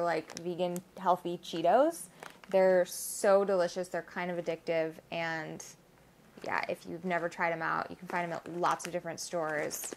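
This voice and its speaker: A woman's voice: on the low side, a little hiss or hum. A young woman hosting a casual vlog, bubbly and enthusiastic, filmed by a camera across a living room. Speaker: host. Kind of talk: casual chat. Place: living room with window, indoors.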